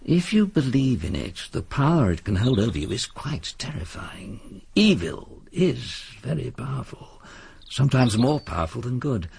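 An actor playing a worldly middle-aged English gentleman in a radio drama, husky and urbane, with measured, courteous speech. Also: medium pitch, big pitch swings, heavily acted. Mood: grave, brooding. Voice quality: husky, gravelly.

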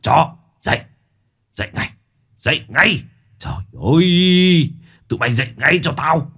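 A menacing older boss man who snarls his threats and curses, as a male storyteller puts him on, with a husky, rough edge. His voice swings widely between low growls and sharp outbursts. He sits on the low side. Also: wildly swooping pitch, moderate pace, full character voice. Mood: furious.

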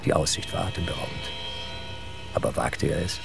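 A man narrating a dark thriller as the scripted storyteller of an audio drama. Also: a bass voice, a little fast, loud music behind the voice, heavily acted. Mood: dark, suspenseful. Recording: clear background noise.

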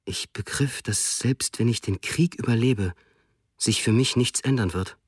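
A man's voice: mid-range voice, unhurried, husky, dry recording. Grave man narrating, dry studio sound. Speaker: narrator voicing a soldier's memoir. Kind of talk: first-person radio documentary narration.

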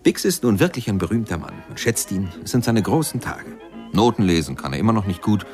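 Radio play narrator: low voice, conversational and questioning, music clearly behind. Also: male voice, very animated, brisk, a little mellow. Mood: musing. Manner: conversational, musing, questioning, showing and prompting. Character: musing biographer-narrator who prompts and coaches another speaker.

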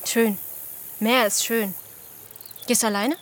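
A chatty, dreamy schoolgirl with a high young voice. She talks colloquially, searching for words in a naturalistic radio play. Crickets and rural outdoor noise sit behind her. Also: steady tone, takes it slowly. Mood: chatty, playful. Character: chatty, dreamy East German schoolgirl in her early teens. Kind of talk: naturalistic German radio drama acting. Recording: outdoor ambience with crickets, clear background noise.

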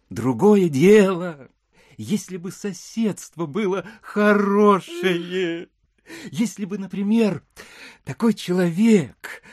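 An actor playing a sentimental, over-polite provincial landowner in an audio drama, speaking in dreamy, halting, saccharine phrases. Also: male voice, sits high, darkish tone, dry recording, studio quality, full character voice. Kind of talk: theatrical audio drama acting.

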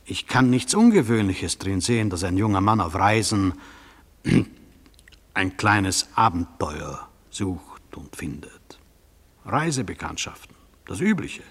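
An actor in a radio drama playing a sceptical, worldly interrogator, measured, matter-of-fact and dismissive. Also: male voice, low-pitched, expressive, heavily acted. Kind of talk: German radio drama acting. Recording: long hall reverb, slightly husky sound.

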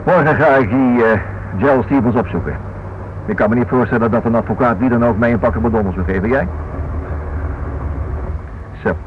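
A man's voice: mid-range voice, a little fast, long pauses, lightly acted. An actor playing an inquisitive, stubborn married man in a radio play, thinking aloud and repeating himself. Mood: casual. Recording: noisy, dull old radio recording.

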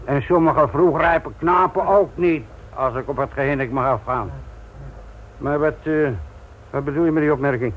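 An actor playing a sardonic, gruff older police instructor in a Dutch radio play, patronising and deliberate in manner. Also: male voice, on the high side, a little hiss or hum, natural voice. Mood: sardonic, brisk. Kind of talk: Dutch radio drama acting. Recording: thin, narrow-band old radio recording.

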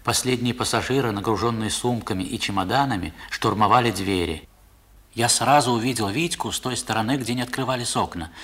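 A man's voice: mid-range voice, fairly quick, a bit bright, slightly rough. A man playing a reflective narrator recalling his boyhood in a 1960s radio play. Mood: measured. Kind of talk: dramatic first-person storytelling in 1960s radio play.

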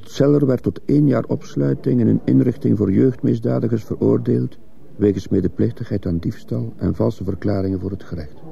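An actor playing a troubled schoolteacher in a radio drama, narrating his own story in a grave, measured, introspective way. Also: medium pitch, fairly even intonation, gravelly, dark tone, highs cut off. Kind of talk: radio drama acting and first-person narration.

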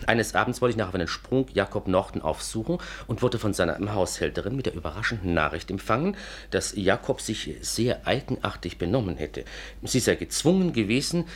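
Anxious, earnest inventor for vintage radio drama: deep, husky, formal, halting delivery. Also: male voice, lively intonation. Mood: earnest, troubled. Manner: formal, hesitant, repeats a pet phrase. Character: courteous, anxious, hesitant businessman inventor, repetitive and earnest. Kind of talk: 1950s German radio play acting.

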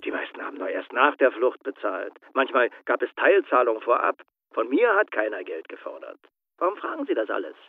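A former escape helper over a thin telephone line in a radio play. His mid-pitched voice swings up and down in a lively way. He is guarded and curt, reluctant and quick to defend himself. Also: dry recording. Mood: defensive. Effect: telephone filter. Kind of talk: radio drama acting. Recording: thin, tinny phone line.

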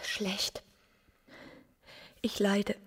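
An actress playing an unhappy, yearning young provincial wife in a radio drama, with a melancholy, inward manner. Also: female voice, medium pitch, monotone, drawn-out words, husky, dry recording, full character voice. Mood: suffering, withdrawn. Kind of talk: German radio drama acting.

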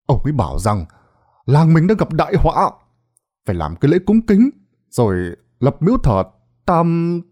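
A man voicing an excitable young village man, talking in a shrill, pleading, frantic way.

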